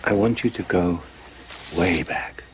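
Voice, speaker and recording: A deep-voiced slow hypnotist. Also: male voice, slight background noise, low-quality recording. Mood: soothing. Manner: slow, soothing, commanding.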